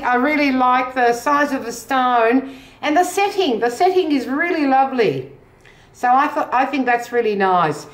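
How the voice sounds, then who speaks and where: A very echoey indoor recording of a middle-aged Australian woman with a high voice. She chats enthusiastically as she shows auction items from room to room, picked up by a camera microphone a few steps away. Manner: chatty, enthusiastic. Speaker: auction house presenter. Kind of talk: casual walk-through tour of auction items. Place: small indoor rooms of an auction house. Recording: very echoey bare rooms.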